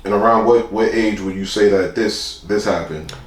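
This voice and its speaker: A man's voice: fairly low pitch, normal speed, roomy sound. A man hosting a podcast interview, laid-back and friendly, asking questions casually. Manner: laid-back, calls the guest "man" and "brother". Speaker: podcast host.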